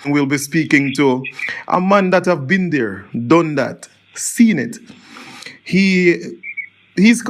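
A man hosting a radio interview show, asking questions in a hesitant way with frequent restarts and "um"s. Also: a little high.

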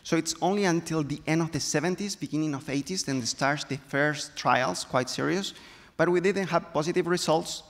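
A man's voice: a little high, moderate pace. A man in his thirties with a Chilean Spanish accent, a native Spanish speaker, explaining carefully as a panelist on a stage with a handheld mic. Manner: explanatory, careful phrasing in second language. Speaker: panelist, viticulture specialist. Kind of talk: panel discussion. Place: stage in a large hall. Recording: long hall reverb.

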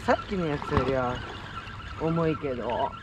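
A man's voice: a little high, moderate pace, heavy background noise. A man in casual conversation outdoors while fishing, caught on someone else's camera. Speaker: fishing companion. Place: outdoors on a concrete seawall by the sea. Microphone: another person's camera, some distance away. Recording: quiet voice under loud music.

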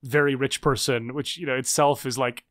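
A man in his thirties, a guest author and researcher, talking fast and animatedly with many restarts in a casual interview. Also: mid-range voice, fairly even intonation, fairly bright tone. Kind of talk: casual long-form interview.

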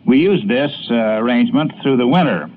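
An older man with an American accent recalling his radio days in a measured, slightly halting way. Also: medium pitch, lively intonation, faint music behind. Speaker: former radio announcer. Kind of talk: recorded interview reminiscence. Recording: tinny, noisy archival recording.

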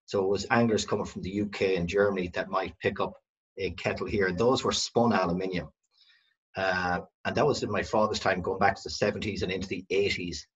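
A husky, low-voiced Irish man in middle age speaks over a dull, lo-fi video-call line from a room at home. He rambles through his story and keeps restarting his sentences. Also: fairly even intonation, brisk. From west of Ireland. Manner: rambling, self-correcting storyteller. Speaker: company director, guest. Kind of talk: casual video-call interview.

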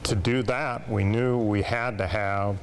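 An older man, a former ski coach, telling his story slowly and deliberately in an interview clip. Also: fairly low pitch, fairly even intonation, a little hiss or hum. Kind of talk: interview soundbite.

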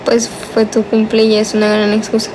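A woman's voice: fairly high pitch, completely flat delivery, normal speed, darkish tone, slight background noise, poor audio. A nineteen-year-old woman, chatting casually and teasingly on a phone livestream from her bedroom at night, drawing out some words. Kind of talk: casual livestream chat, reading viewer comments. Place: small dim bedroom, indoors at night. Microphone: phone held close, selfie framing.

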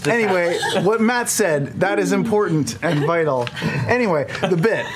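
Giggly, excitable man on a live show. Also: high-pitched, moderate pace, heavy background noise. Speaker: cast member doing sound effects. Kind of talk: casual live-show banter.

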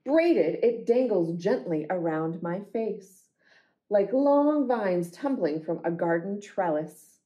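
A woman reading picture books aloud for a children's storytime, with deliberate, expressive phrasing. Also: mid-range voice, muffled recording.

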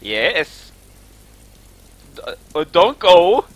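A man with an Estonian accent improvising a campy, pleading singer character in halting broken English during a game stream. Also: sits high, big pitch swings, full character voice. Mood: needy, coy. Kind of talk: improvised role-play while streaming a game.

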